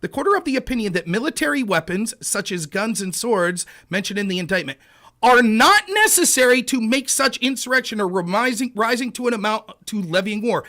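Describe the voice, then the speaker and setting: A man hosting a heated debate, arguing his point and reading legal text aloud in a measured way. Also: very high voice, sharp tone. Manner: argumentative, reads legal text aloud deliberately. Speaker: show host.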